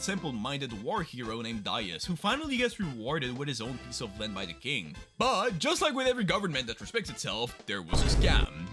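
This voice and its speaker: A young man gives sarcastic, mock-dramatic commentary on the new anime season. His tone is bright, he keeps his voice a little high, and his pitch swings widely. Soft music plays faintly underneath. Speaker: YouTube host.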